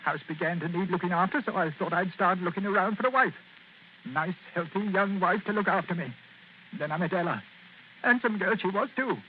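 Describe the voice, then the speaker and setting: A man's voice: high voice, fairly quick, a little hiss or hum, natural voice. An actor playing a miserly, self-pitying old man in a 1940s radio drama. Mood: reminiscing. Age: older. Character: miserly old male retired colourman, whining. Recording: tinny lo-fi old radio recording.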